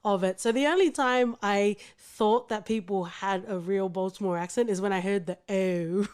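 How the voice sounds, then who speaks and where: A woman's voice: mid-range voice, moderate pace, darkish tone, smooth. An Australian woman hosts a podcast in a mid-range voice. She talks casually, laughs often, and trails off and restarts her sentences as she thinks aloud. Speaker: podcast host.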